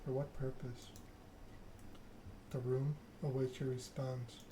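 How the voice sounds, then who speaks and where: A man streaming a text game, reading narration aloud in a soft-spoken ASMR style with casual chat. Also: medium pitch, little pitch movement, slow pace. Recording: clear background noise.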